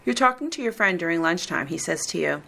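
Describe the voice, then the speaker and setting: A woman reading the script of a recorded listening exam in a slow, deliberate, evenly paced way. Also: fairly low pitch, expressive, vocal fry, pushed and bright. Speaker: exam reader.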